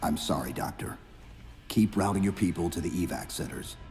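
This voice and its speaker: An actor playing a stoic, terse armored supersoldier in a video game cutscene, grim and commanding. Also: male voice, sits low, moderate pace, a little mellow. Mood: grim, steady. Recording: long reverb, music behind.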